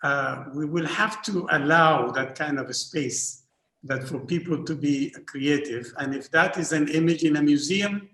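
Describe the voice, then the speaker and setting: Hesitant man from Northern Sudan, slightly high. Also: measured pace, fairly bright tone. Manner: hesitant, many repeated fillers and restarts. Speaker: art historian on a panel. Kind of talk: academic panel discussion. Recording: roomy echo.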